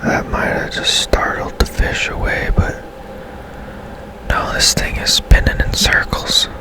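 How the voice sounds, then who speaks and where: A man whispering ASMR commentary as he plays a game, hushed and unhurried, musing aloud as he goes. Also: poor audio. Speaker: gaming ASMR host.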